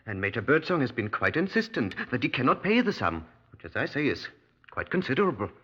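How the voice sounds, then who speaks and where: A man's voice: medium pitch, big pitch swings, brisk, a bit bright, slightly rough. An actor playing an anxious, resentful village businessman in a 1950s radio drama, speaking in a nervous, hesitant, indignant manner. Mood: anxious, insistent. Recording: dull old radio recording.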